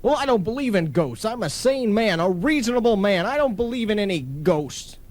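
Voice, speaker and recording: An actor playing a pompous, blustering skeptical husband who sputters when flustered, in old-time radio comedy style. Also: male voice, sits high, big pitch swings, normal speed, a little mellow. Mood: flustered, defiant.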